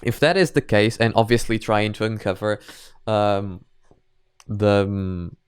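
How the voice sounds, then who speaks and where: A man's voice: fairly low pitch, a little slow, clean tone, dry recording, studio quality. A man giving casual, off-the-cuff reaction commentary, thinking aloud in a hesitant, rambling way. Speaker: host of anime reaction videos.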